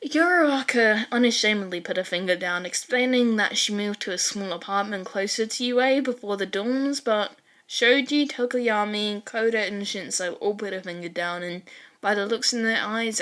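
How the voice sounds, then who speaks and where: A woman narrating fan fiction in an expressive amateur audiobook style, with animated dramatic asides. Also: medium pitch, moderate pace. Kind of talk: expressive amateur audiobook reading of a story, with dramatized character lines.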